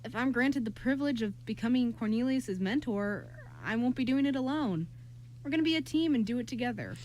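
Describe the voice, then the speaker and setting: A woman voicing an earnest, kind young man in an amateur radio play, with halting delivery. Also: on the high side, animated, darkish tone, slight background noise, close to an everyday voice, poor audio. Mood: indignant.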